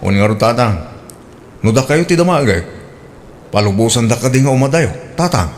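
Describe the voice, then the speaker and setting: Earnest young adult son in a radio drama, mid-pitched and steady, voiced by a man. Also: normal speed, a little hiss or hum, natural voice. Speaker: grown son. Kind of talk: Ilocano radio drama acting.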